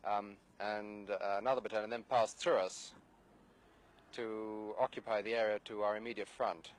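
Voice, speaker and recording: A male army officer gives an unscripted briefing in a fairly low voice. He is formal and understated, feeling his way through phrases with frequent hesitations. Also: level tone, normal speed. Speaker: British Army battalion officer. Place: outdoors, overlooking the area.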